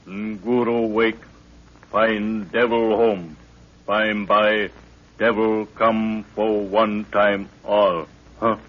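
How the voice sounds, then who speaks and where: An actor playing a huge, loyal, superstitious Maasai prince in halting pidgin English in a 1930s radio drama. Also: male voice, on the low side, flat delivery. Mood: awed, warning. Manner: halting pidgin English mixed with African words. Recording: dull lo-fi 1930s radio recording.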